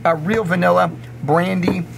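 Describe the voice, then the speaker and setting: A middle-aged man presents a recipe in a chatty, informal way, stressing his points as he goes. His voice sits fairly high for a man. He is recorded in an indoor kitchen with clear background noise. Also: steady tone, moderate pace. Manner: chatty, emphatic, informal, often checking in with "okay?". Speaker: chef presenting a recipe video. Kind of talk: casual cooking demonstration. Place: indoor commercial kitchen or sandwich shop.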